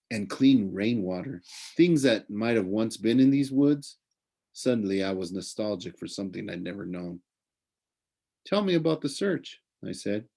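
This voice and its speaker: A man's voice: lowish voice, darkish tone. A man narrating a storybook aloud for a classroom, sometimes stumbling and correcting himself. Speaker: reader of a classroom audiobook.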